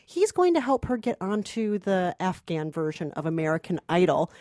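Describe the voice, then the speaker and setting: A woman's voice: on the low side, sing-song, normal speed, a little mellow, no room sound, studio quality. A woman co-hosting a movie podcast as a culture producer, conversationally discussing and reviewing films.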